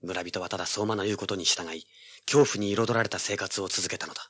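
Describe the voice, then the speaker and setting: A man's voice: lowish voice, steady tone, fairly quick, a bit bright. An actor playing a brash, driven young male treasure hunter in an audio drama, with intense dramatic delivery. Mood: tense, grim. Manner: cocky, intense, rough casual speech. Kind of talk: dramatic first-person narration and dialogue, audio drama.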